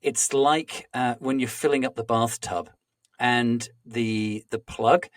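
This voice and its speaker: A man hosting a podcast, asking long, halting questions with many restarts and explaining with analogies. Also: medium pitch, fairly even intonation, dry recording. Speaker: podcast host. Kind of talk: conversational interviewing.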